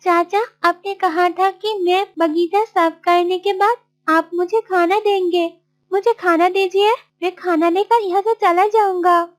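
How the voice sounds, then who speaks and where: Woman playing a high, plaintive little orphan boy. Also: fairly even intonation, unhurried. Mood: pleading, hurt. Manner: earnest, pleading. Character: poor, polite, plaintive young orphan boy. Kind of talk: children's cartoon story voice acting.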